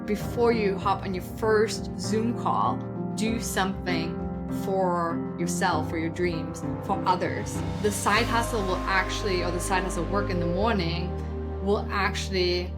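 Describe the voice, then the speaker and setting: A woman in her thirties from Germany, speaking English with a German accent, telling her story as a guest on an interview show in a lively, chatty way full of "like" and "literally". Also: lowish voice, a little slow, loud music behind the voice. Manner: energetic, storytelling, says "like" and "literally" a lot. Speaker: guest, side hustle coach. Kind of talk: conversational podcast interview.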